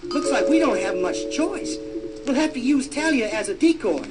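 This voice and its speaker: An actor playing a brave, streetwise young man with excitable, slangy speech in an audio drama. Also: extremely high pitch, moderate pace, over loud music. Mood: urgent, persuasive. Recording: faint noise behind.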